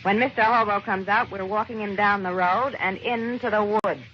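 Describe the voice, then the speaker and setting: A woman's voice: medium pitch, fairly even intonation, moderate pace, close to an everyday voice. An American actress playing a greedy, cold-blooded gangster's girlfriend in an old radio crime drama, brash, slangy and sardonic. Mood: calculating. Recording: dull old radio recording, faint noise.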